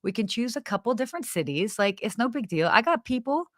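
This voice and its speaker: A woman's voice: fairly high pitch, sing-song, quick pace, fairly bright tone, dry recording, studio quality. A woman co-hosting a live travel show in casual chat. She is bubbly, laughs often, and trails off and restarts her sentences. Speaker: podcast co-host.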